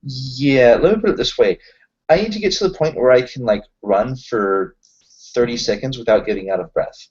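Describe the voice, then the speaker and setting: A man's voice: medium pitch, moderate pace, a little mellow, husky, lo-fi sound. A man chatting casually and self-deprecatingly as a player in an improvised roleplaying game. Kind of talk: casual improvised in-character chat.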